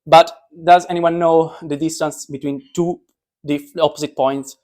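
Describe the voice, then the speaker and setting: A man's voice: a little high, level tone, a little slow, darkish tone. Joking young man giving an informal science talk. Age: young adult. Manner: joking, self-deprecating. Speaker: speaker, physics PhD student.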